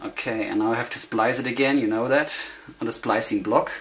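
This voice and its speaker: A man's voice: medium pitch, brisk, fairly bright tone. A man with a German accent, a native German speaker, giving a casual hands-on demonstration in hesitant, self-conscious English, searching for words. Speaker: hobbyist demonstrator. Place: indoor room, beside tape machines. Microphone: camera microphone. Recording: noisy amateur camera sound with clicks.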